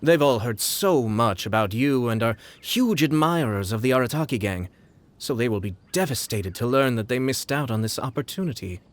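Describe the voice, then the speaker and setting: A man voicing a courtly, suave young lord in a video game, composed and slyly amused, with formal, polite, measured phrasing. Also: medium pitch, lively intonation, lightly acted. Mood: politely persuasive. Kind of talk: video game cutscene acting.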